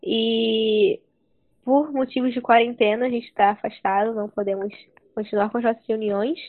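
A woman's voice: on the high side, moderate pace, no echo. Young woman on a tinny dry line. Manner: relaxed, with many tag fillers. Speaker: youth group member, co-host. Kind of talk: casual podcast chat.

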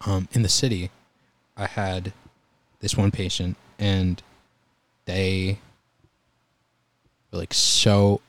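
A young man telling EMT stories in a relaxed, calm voice during a casual chat. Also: low-pitched, takes it slowly, pauses often. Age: young adult. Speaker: guest, EMT volunteer.

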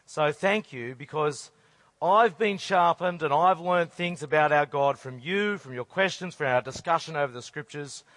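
A middle-aged man with a hoarse, croaky voice, preaching a sermon with earnest rhetorical questions. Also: fairly high pitch, a bit bright, dry recording. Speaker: conference speaker.

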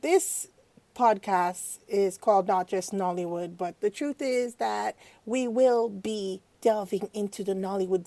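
A woman hosts a casual podcast. She talks in a chatty, playful way. Her voice sits at an ordinary middle pitch. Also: dark tone, no echo. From Nigeria. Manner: chatty, playful, quick to laugh. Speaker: podcast co-host.

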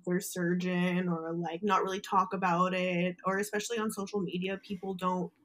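A woman's voice: fairly low pitch, level tone, moderate pace, fairly bright tone. A young adult woman speaking as a podcast guest, candid and outspoken in casual conversation. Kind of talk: casual interview chat.

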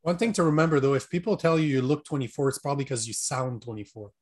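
A man's voice: mid-range voice, fast talker. A man interviewing a guest, asking questions with dry teasing remarks. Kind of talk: casual podcast interview.

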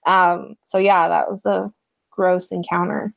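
A woman's voice: lowish voice, flat delivery, unhurried, gravelly. A woman co-hosting a podcast, asking questions casually with many fillers and thinking aloud. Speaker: podcast co-host.

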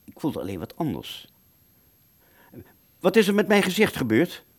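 An actor playing an anxious, confused wounded airman in a radio drama, speaking in an agitated, halting stammer. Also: on the high side, wildly swooping pitch, no echo, exaggerated voice. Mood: uneasy. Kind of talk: Dutch radio drama, first-person narration and dialogue.

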